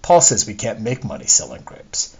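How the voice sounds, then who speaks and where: A man's voice: fairly low pitch, huge pitch swings, moderate pace, darkish tone, breathy edge, heavily acted. A man reading a literary monologue as a wistful, discontented middle-aged wife, confiding and opinionated, in his own everyday voice. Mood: exasperated. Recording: dull lo-fi recording.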